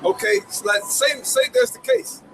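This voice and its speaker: A man's voice: very high-pitched, huge pitch swings, moderate pace, fairly bright tone, soft background music. A man arguing casually outdoors, reasoning aloud in a slangy, emphatic way. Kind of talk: casual argument.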